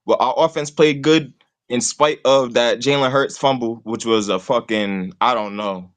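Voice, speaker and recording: A man's voice: medium pitch, fairly even intonation, moderate pace. A man, talking sports casually and rambling, leaning on "you know what I'm saying" all the time. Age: 20s. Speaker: call-in guest host.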